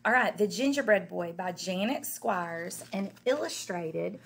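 Woman, middle-aged, teacher, animated storybook reading, swooping intonation, husky edge. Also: mid-range voice, a little slow. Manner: animated, emphatic storytelling for young children. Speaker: elementary teacher reading aloud. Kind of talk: expressive children's story read-aloud. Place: indoor school classroom.

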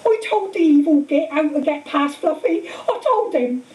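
A woman voicing a huge, gruff, tender-hearted man with blunt rustic speech and emotional, drawn-out delivery. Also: on the high side, animated, mellow, natural voice. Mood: distraught, sobbing. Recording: hissy, roomy, distant microphone.

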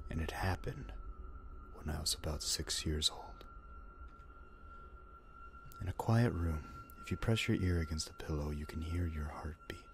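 A man with an exceptionally deep voice narrates a horror story. His delivery is hushed, breathy and deliberate, yet his intonation moves in a lively way. Ambient music plays clearly behind him. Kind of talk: dramatic reading of a first-person horror story.

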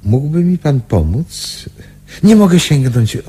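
Courteous doctor for radio drama, dark husky voice, hesitant delivery with wide swings in pitch. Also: male voice, big pitch swings, full character voice. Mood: apologetic, halting. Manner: polite, halting.

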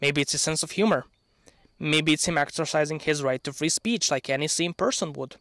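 A young man in his early twenties from Bosnia, a Serbian speaker, delivering a prepared opinion speech outdoors into a handheld microphone, earnest and measured with sardonic touches. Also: on the high side, fairly bright tone, no room sound. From Bosnia and Herzegovina. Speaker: channel owner. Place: outdoors in an open field.

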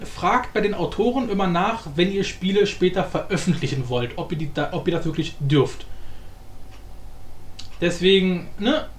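High-pitched, animated man in echoey room. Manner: informal, rambling, slangy, thinking aloud. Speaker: tutorial host. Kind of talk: casual screen-recorded software tutorial. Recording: echoey room, faint background noise.

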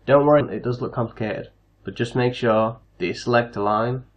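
A man hosting a casual software tutorial, explaining things step by step. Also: mid-range voice, brisk, lo-fi sound. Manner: step-by-step instructional. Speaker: tutorial host.

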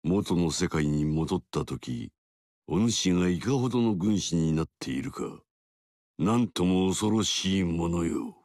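Brief: lordly warlord, very deep and slow, dry studio read. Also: male voice, expressive, a little mellow, no echo. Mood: approving. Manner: grave, measured, authoritative. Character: commanding older male warlord, dignified. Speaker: game character voice. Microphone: dry studio mic.

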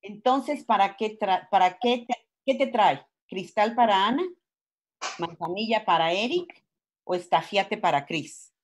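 Woman acting sixties veterana healer, warm, medium pitch, comedy table read, video call. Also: normal speed, natural voice. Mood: welcoming, brisk. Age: older. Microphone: video call from home.

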